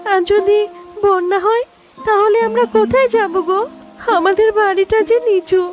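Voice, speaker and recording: Woman voicing a very high-pitched, pleading young village mother. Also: steady tone, moderate pace. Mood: frightened pleading. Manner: plaintive, pleading. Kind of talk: cartoon dialogue. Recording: music behind the voice.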